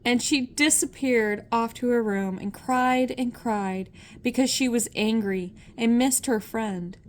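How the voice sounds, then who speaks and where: An older woman reading a children's story aloud as a storyteller, measured and expressive. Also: on the high side, slight background noise.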